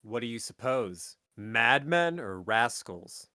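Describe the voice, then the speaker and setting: A man's voice: medium pitch, very animated, slow pace, sharp tone, dry recording, clean studio sound, heavily acted. A man playing a disgruntled, scornful theatre actor with a theatrical manner in an audio drama.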